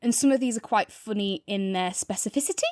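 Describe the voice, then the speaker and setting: A woman's voice: medium pitch, brisk, a little mellow, no echo, clean studio sound. A woman co-hosting a podcast in a casual review chat, enthusiastic and wandering freely between points. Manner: enthusiastic, discursive. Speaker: podcast co-host.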